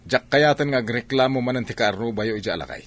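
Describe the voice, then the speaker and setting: A stern, exasperated elder brother played by a male actor in a village radio drama. His mid-pitched voice has a Filipino accent and a grumbling, scolding delivery. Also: fast, fairly bright tone, close to an everyday voice. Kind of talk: Ilocano comedy radio drama acting.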